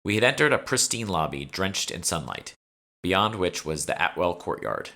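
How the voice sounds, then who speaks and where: A man's voice: low voice, very animated, moderate pace, creaky, pushed and bright. A man reading scripted first-person fiction as an author narrating his own audiobook.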